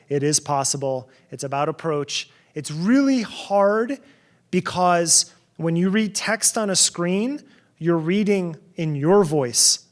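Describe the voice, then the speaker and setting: A middle-aged man from New Jersey giving a conference keynote in a conversational way, with sarcastic asides and frequent restarts. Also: a little high. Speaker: keynote speaker.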